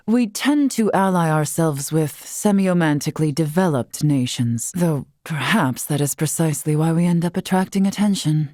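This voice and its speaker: An actress playing a wise, long-lived female scholar in a video game, composed and curious, speaking in formal, measured, eloquent phrases. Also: deep voice, no echo, clean studio sound. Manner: formal, measured, eloquent, softly breathy at times. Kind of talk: scripted video game character dialogue.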